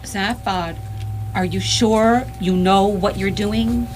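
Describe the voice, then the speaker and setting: A woman's voice: mid-range voice, steady tone, takes it slowly, darkish tone, slight background noise, lightly acted. A woman playing an uneasy, practical space traveller, casual and questioning, in live radio theatre.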